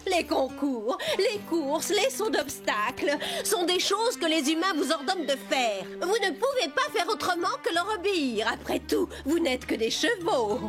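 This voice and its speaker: A woman's voice: extremely high pitch, sing-song, measured pace, hardly any pauses, full character voice. An actress voicing a haughty, critical female animal in a dubbed cartoon, scolding with dramatic disdain. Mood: scornful. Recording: music bed behind the voice.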